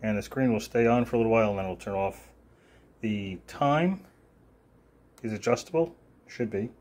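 Low-voiced man giving a casual demo. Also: darkish tone. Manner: offhand, halting, thinking aloud. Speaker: presenter of a gadget demonstration. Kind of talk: casual unscripted product demo. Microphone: phone held near the hands filming.

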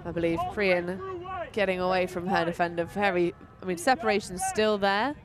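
A woman giving relaxed, playful live play-by-play commentary at an outdoor tournament. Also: on the low side, normal speed, a crowd in the background.

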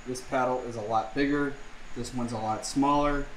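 A man's voice: medium pitch, steady tone, moderate pace, reverberant, a little hiss or hum, low-quality recording. A man casually explaining a jet ski part swap, thinking aloud with lots of fillers, recorded on a camera in his home garage. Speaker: vlogger. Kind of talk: casual how-to vlog.

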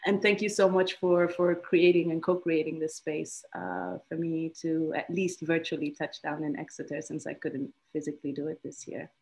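A woman in her thirties from Egypt, a guest on an online interview, telling her story in a lively way with frequent laughs and repeated phrases for emphasis. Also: sits low, moderate pace, hardly any pauses. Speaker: guest, institute alumna. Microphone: video call.

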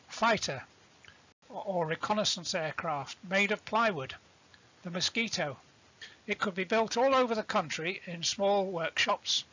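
A man giving an explanatory lecture as an instructor, deliberate and didactic, defining terms as he goes. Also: high-pitched, a bit bright, muffled recording. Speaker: instructor presenting a sailing tutorial.

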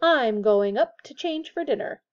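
A woman's voice: on the high side, moderate pace, a little mellow, lo-fi sound, close to an everyday voice. A woman reading a storybook and voicing a pompous, solemn teenage boy with a lofty, formal manner.

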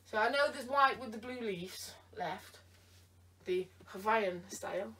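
A woman's voice: on the high side, unhurried, bright, forceful tone, a little hiss or hum. Playful woman, tired, from across a bedroom. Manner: playful, talks to someone off camera, repeats herself teasingly. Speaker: vlogger. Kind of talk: chatty try-on vlog. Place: bedroom indoors. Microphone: camera across the room. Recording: voice from a distance.

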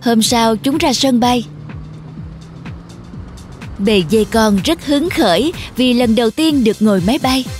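A woman storyteller reading a scripted narration for a children's animated tale. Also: a little high, a little slow, pauses often, soft background music.

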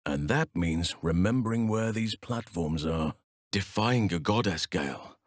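An actor playing a wise, gentle and steadfast elf druid in a fantasy video game, speaking in measured, formal phrases. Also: male voice, sits low, animated, no echo, studio quality. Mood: earnest, measured. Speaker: video game companion character. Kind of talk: acted fantasy game dialogue.

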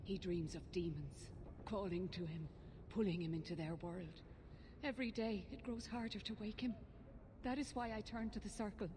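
A woman's voice: mid-range voice, steady tone, a little slow, noisy background, close to an everyday voice. An actress playing an anxious, earnest elven mother who fears for her child, in grave fantasy game dialogue.

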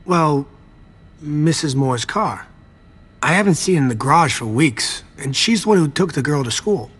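A servile, jittery vampire garage attendant in a game cutscene. His husky voice swings widely in pitch as he ingratiates himself and dodges around things. He sounds eager to please and easily cowed. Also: expressive, normal speed. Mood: eager, explaining. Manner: pleading, deferential, evasive. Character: nervous, servile young vampire garage attendant hiding a secret. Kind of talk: video game cutscene acting.

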